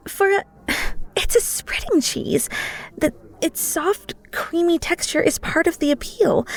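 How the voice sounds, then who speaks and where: A woman's voice: high voice, gravelly, exaggerated voice. A woman playing an enthusiastic, flirty cheesemonger in a roleplay audio, chatty and theatrical, talking straight to the listener. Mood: flustered, wounded pride. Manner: playful, theatrical, chatty, with flustered stammers. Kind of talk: roleplay audio drama, one-sided conversation with the listener.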